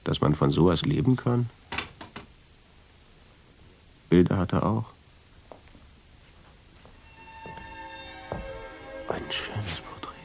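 Deep-voiced, brooding traveler narrating. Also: male voice, animated, moderate pace, vocal fry, a little mellow. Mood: musing. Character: weary, intrigued male traveler narrating a mysterious encounter. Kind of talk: radio drama first-person narration. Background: music.

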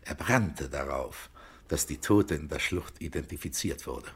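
An actor playing a shrewd police investigator in a German radio crime drama, speaking in a measured, precise, clipped and insistent way. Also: male voice, extremely low pitch, slightly rough, heavily acted. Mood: measured recounting. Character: shrewd male police investigator, coolly cornering a suspect.

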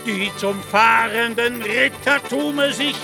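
An actor playing a deluded, grandiose old knight-errant in a radio play, declaiming in pompous, archaic chivalric speech with a gravelly voice. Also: male voice, extremely high pitch, slow pace, music in the background, full character voice. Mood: grandly defiant.

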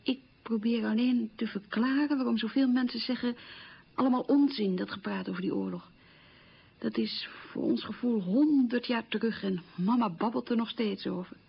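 A middle-aged woman looking back on hungry war years. Her voice is very husky with a gravelly creak. She tells it plainly and emphatically, as radio drama testimony, on a dull old recording. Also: on the high side, lively intonation, normal speed, exaggerated voice. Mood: matter-of-fact, insistent. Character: middle-aged woman bitterly recalling wartime hunger.

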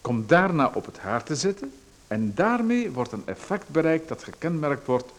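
A man doing a pushy, smooth-talking salesman. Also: a little high, very animated, normal speed. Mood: persuasive. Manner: smooth, persuasive lecturing. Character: pushy male salesman of a dubious hair machine. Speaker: radio drama actor. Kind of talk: radio play acting.

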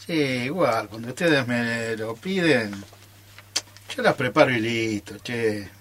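A man's voice: medium pitch, expressive, slow pace, a bit bright, slight background noise. A man with an Argentine accent acting a stubborn, teasing keeper of a secret recipe in amateur radio theatre, with coy, theatrical delivery.

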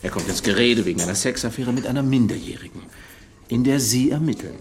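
An actor playing a shrewd, methodical detective in a radio drama, laying things out deliberately and pointedly. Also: male voice, mid-range voice, a little hiss or hum, close to an everyday voice. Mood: insinuating.

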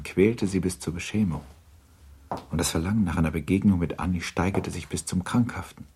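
A man narrating a literary radio drama in a measured, formal storytelling manner. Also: deep voice, creaky, darkish tone, slight background noise.

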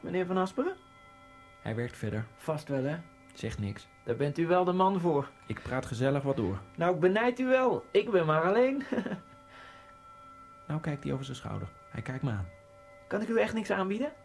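A man's voice: on the high side, very animated, normal speed, gravelly, darkish tone, faint music behind. An actor playing a nervous, fawning immigrant man who rambles in a radio play. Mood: anxious, fawning. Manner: rambling, obsequious, slides into bitter ranting. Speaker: radio drama lead. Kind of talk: radio play acting with inner monologue.

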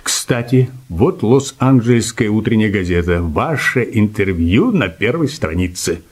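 A man's voice: lowish voice, expressive, a little slow, husky. A man playing a wry, inquisitive, chatty newspaperman in a radio play. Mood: breezy, teasing. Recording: radio play with music underneath.

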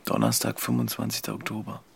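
Gravelly, defiant young man. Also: lowish voice, big pitch swings, fast talker, exaggerated voice. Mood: dry, concerned. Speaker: actor in radio play. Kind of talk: radio drama acting.

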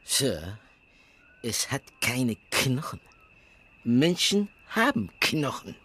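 An actor playing a blunt, hesitant pathologist in a radio drama, deliberate and matter-of-fact. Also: male voice, mid-range voice, big pitch swings, fairly bright tone, slight background noise, heavily acted. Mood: dry, deliberate. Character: hesitant but blunt village-case pathologist.

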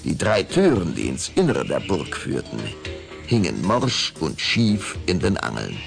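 Deep, gravelly, dramatic male narrator. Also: huge pitch swings, music in the background. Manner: suspenseful, deliberate storytelling. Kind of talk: scripted dramatic radio play narration.

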